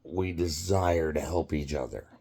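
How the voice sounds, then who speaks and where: Man, 50s, very low voice, slow, rambling casual storyteller who keeps breaking into laughs. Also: dark tone, breathy edge. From United States. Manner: rambling, halting, quick to laugh.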